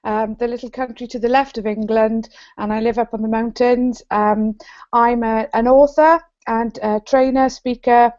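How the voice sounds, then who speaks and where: Welsh woman, bubbly app demo, higher voice, dry muffled call sound. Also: steady tone, moderate pace, a little mellow, no echo. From South Wales. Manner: bubbly and enthusiastic. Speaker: author and trainer. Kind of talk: enthusiastic tech demo. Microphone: video call. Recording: dull lo-fi video call audio.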